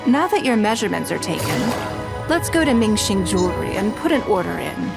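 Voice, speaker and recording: A composed, elegant lady of authority from a video game. She has a medium-pitched voice. Her delivery is measured, refined and businesslike. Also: sing-song, creaky, loud music behind the voice. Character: poised, commanding noblewoman, composed, elegant and businesslike.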